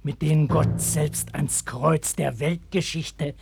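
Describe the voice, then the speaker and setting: A man playing a stern, compassionate prophet in a radio play, speaking in a solemn, measured, declamatory way. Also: on the high side, steady tone, vocal fry, background noise. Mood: fervent, prophetic. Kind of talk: German radio play acting. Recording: early 1960s vinyl radio-play record.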